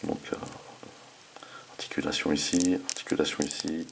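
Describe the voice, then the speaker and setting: Man, deep and creaky voice, informal enthusiast, casual product demo, slangy commentary. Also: little pitch movement, normal speed, in a whisper, poor audio. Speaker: toy review host. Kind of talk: casual hands-on product demonstration.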